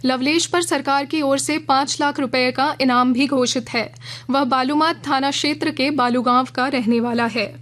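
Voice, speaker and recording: A woman reading a regional radio news bulletin in a measured, formal way from a studio. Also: high voice, fairly even intonation, a bit bright. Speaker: news reader.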